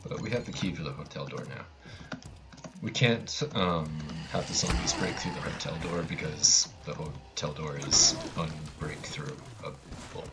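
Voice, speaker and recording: A man with a low voice commentates live on his own gameplay. He talks casually and offhandedly with a dry, joking edge, while a keyboard clicks. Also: unhurried, heavy background noise. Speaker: speedrunner commentator.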